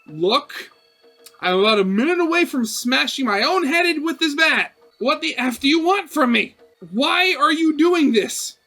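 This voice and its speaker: Visual novel lead: desperate young guy, acted by a man, high bright voice, exasperated delivery. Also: expressive, unhurried, soft background music, full character voice. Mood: desperate pleading. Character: frazzled young male student pleading with a phantom. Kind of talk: visual novel character reading.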